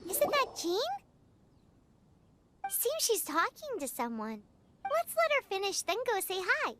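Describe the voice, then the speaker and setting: A woman voicing a small, cheerful, chatty childlike fairy companion in an anime-style video game, squeaky and chirpy. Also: wildly swooping pitch, measured pace, noisy background, heavily acted. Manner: chirpy, exclaiming, refers to self by name.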